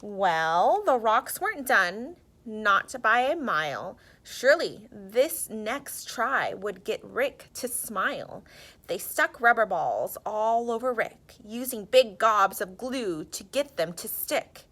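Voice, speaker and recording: A woman narrating a children's storybook in an expressive, theatrical and emphatic way. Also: medium pitch, slow.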